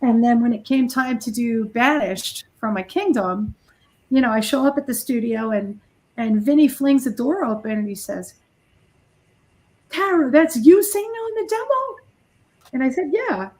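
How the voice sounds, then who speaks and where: Candid woman with a higher-pitched voice. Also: expressive, unhurried, a little mellow, poor audio. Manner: candid, self-deprecating, blunt. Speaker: heavy metal guitarist, singer and songwriter being interviewed. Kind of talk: casual interview chat, anecdotal storytelling.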